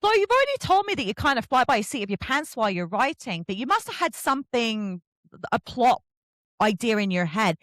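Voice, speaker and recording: A woman's voice: a little high, big pitch swings, a little fast, a bit bright, no room sound. A woman hosting a casual book chat, effusive and emphatic, repeating herself for emphasis. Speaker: podcast host interviewing an author.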